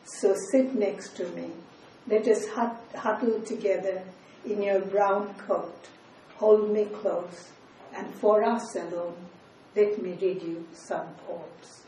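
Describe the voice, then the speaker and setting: Older woman reading poetry slowly in an echoey room. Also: medium pitch, steady tone, a little hiss or hum. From India. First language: Bengali. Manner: slow, measured reading with soft, trailing line endings. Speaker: poet. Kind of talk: poetry reading with spoken asides. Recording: distant, echoey room with faint ambience.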